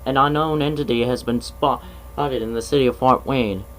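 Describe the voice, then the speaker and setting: A man reading out a mock emergency alert broadcast in a measured, official tone, with stumbles and restarts. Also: mid-range voice, fairly even intonation, a bit bright, soft background music, rough recording.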